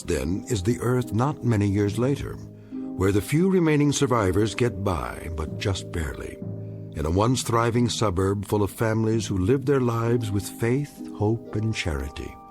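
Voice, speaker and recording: An actor playing a grave anthology narrator, measured and portentous in scripted dramatic narration. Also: male voice, fairly low pitch, close to an everyday voice. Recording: music under the narration.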